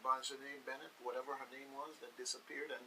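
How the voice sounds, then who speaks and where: A man's voice: medium pitch, flat delivery, fast, pushed and bright. A man with a Virgin Islands accent hosting a radio talk show, speaking to listeners in a folksy, emphatic way and repeating phrases for weight. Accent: Virgin Islands Caribbean. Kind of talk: casual on-air discussion addressed to listeners. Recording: hissy, distant microphone.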